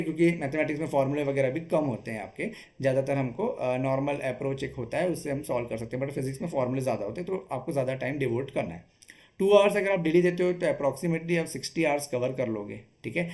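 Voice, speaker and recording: Higher-pitched man coaching students. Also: darkish tone. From Chhattisgarh, India. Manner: explanatory, often checks in with short tag questions. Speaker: exam coach. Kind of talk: instructional exam-strategy talk.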